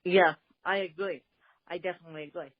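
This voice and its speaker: A woman hosting a podcast interview, speaking deliberately with a slight speech impediment. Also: lowish voice, fairly even intonation, no room sound, highs cut off. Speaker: podcast host.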